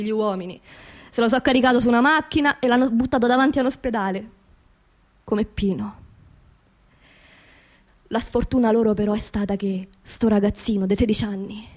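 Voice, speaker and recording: An actress playing an angry, grieving working-class widow in a radio monologue, speaking colloquial Roman dialect in a confiding, impassioned way with repeated phrases. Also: female voice, mid-range voice, darkish tone, natural voice.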